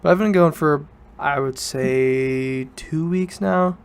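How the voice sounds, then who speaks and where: A man's voice: on the high side, slow, vocal fry, clean tone. A young man, student age, talking casually and informally as a solo podcast host, rambling and correcting himself as he goes. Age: teens to young adult student.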